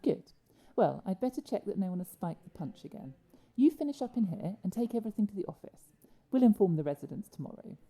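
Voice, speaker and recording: An actress playing a cold, domineering care home boss in an audio drama, husky and curt. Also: female voice, medium pitch, sing-song, fast, dark tone. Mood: cold, firm. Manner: curt, commanding. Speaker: actor.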